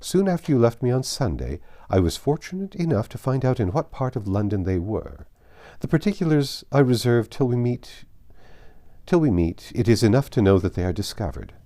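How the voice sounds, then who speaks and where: A man reads as a businesslike middle-aged uncle setting out news in a formal letter. The tone is mellow and reassuring, with pitch that rises and falls freely. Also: very animated, moderate pace, natural voice. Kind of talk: audiobook letter reading.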